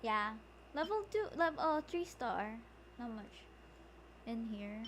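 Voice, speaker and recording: A woman's voice: on the high side, big pitch swings, slow, background noise. A woman giving casual, playfully dramatic commentary as she streams a game. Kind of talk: casual live gaming commentary.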